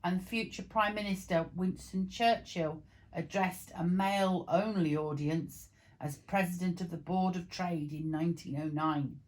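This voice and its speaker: A woman's voice: lowish voice, steady tone, measured pace. A woman reading printed text aloud in an informal, amateur storytelling way, now and then tripping over words. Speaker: vlog co-presenter reading aloud.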